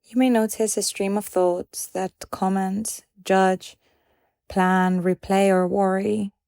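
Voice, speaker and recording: A woman leading a guided meditation in a calm, gentle and measured way, leaving pauses between phrases. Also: mid-range voice, creaky, no room sound, clean studio sound.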